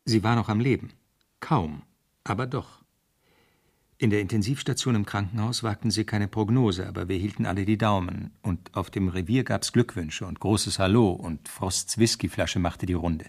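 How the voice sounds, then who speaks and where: Lowish husky male voice, young detective, matter-of-fact first-person narration, radio crime drama. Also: moderate pace.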